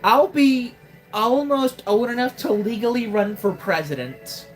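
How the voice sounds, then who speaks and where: A woman's voice: on the high side, expressive, measured pace, faint music behind. A woman in her twenties casually commenting on her gameplay during a live stream, wry and joking, often exclaiming to herself. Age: 20s.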